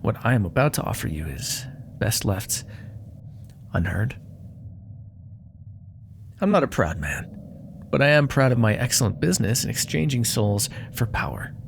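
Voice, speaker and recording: A man's voice: medium pitch, animated, moderate pace, creaky, long pauses, noisy background. A man playing a proud, menacing demon dealmaker in an ASMR roleplay, theatrical and condescending, coaxing with whispered asides. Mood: smug, businesslike.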